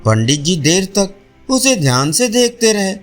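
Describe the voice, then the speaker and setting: A man narrates an audio folk tale in a high voice that moves up and down a lot. He takes his time with every phrase and tells it expressively, with soft instrumental music behind him. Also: sing-song, measured pace. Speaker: storyteller. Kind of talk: scripted audio storytelling.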